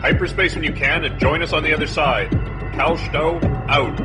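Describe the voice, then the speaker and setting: A man playing a steady, determined starship captain in a sci-fi game, talking in brisk military radio style. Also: fairly high pitch, close to an everyday voice. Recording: loud music over the voice. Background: loud music.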